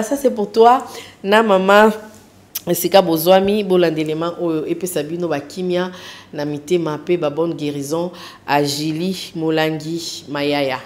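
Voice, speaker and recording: A woman's voice: lowish voice, darkish tone. Woman hosting a TV show. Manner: switches between French and Lingala, preacherly. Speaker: TV show host. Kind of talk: talk-show presenting with dedications.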